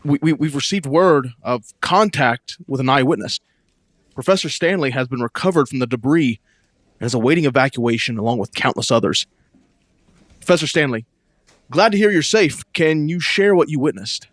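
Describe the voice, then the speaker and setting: An actor playing a solemn, shaken news anchor in a 1930s-style radio drama, formal and grave. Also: male voice, medium pitch, expressive, normal speed, no echo, lightly acted.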